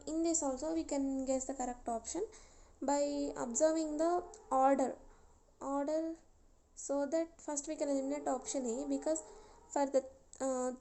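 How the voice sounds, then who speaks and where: A woman's voice: high-pitched, steady tone, dark tone, a little hiss or hum. A young woman, casually explaining exam questions step by step to her viewers. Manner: walks through each question slowly and patiently. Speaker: YouTuber sharing exam tips. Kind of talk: casual tutorial.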